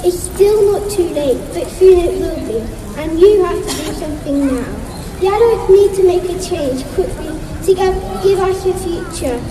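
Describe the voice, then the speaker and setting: A woman's voice: extremely high pitch, moderate pace, a crowd in the background. A young female speaker earnestly reading a prepared speech at an outdoor climate rally. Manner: earnest, reads steadily. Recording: distant, echoey outdoor sound with noise.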